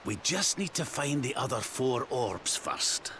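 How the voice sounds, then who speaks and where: A man's voice: mid-range voice, animated, unhurried, a little hiss or hum. An actor playing a genial, crafty old Scottish king travelling as a chatty companion in a video game. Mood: brisk, cheerful. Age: older. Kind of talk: video game cutscene acting. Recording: loud game music behind.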